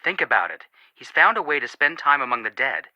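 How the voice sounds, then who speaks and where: An actor playing an earnest, sympathetic young amateur detective in scripted video game dialogue. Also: male voice, mid-range voice, sing-song, a little fast, pushed and bright, no echo, dull audio. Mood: earnest, reflective.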